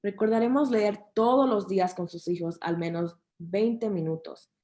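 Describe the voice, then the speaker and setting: A woman's voice: mid-range voice, a little fast, dark tone. A woman interpreting a children's program, relaying instructions and fun facts to families and kids.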